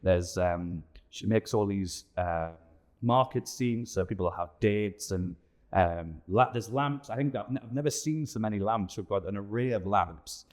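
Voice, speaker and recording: Low-voiced man. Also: moderate pace. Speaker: pantomime director and producer being interviewed. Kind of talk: casual interview chat.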